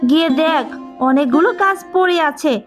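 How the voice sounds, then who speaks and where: A woman voicing a harsh, miserly aunt in a cartoon, sharp-tongued and bullying. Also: sits high, normal speed, soft background music, natural voice. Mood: angry. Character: harsh, scolding, miserly aunt of orphan girl. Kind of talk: Bengali cartoon drama dubbing.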